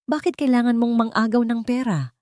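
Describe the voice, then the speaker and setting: A woman's voice: a little high, fairly quick, a little mellow, no room sound, studio quality, voice pushed up, natural voice. A woman voicing a judgmental aunt who scolds with reproach in a story. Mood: scolding. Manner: reproachful, bossy.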